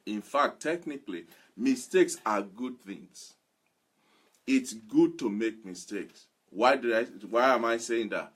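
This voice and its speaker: A man giving a motivational sermon, speaking emphatically and repeating phrases deliberately for weight. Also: mid-range voice. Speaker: motivational preacher.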